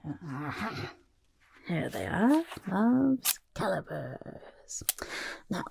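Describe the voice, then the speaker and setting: Raspy, whispery goblin artist. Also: female voice, mid-range voice, dark tone, full character voice. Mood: fussy, polite. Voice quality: raspy, breathy. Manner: speaks in third person, hesitant, fussy, coaxing. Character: chatty, eager, self-important little goblin portrait artist. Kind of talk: ASMR personal attention roleplay, half-whispered.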